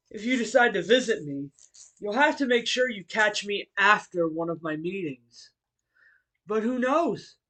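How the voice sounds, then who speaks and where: A man voicing a friendly, bookish martial-arts hero and teacher in a fan audio roleplay, speaking politely to the listener with hesitant pauses. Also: high-pitched, a bit bright. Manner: polite, chuckling, hesitant pauses between phrases.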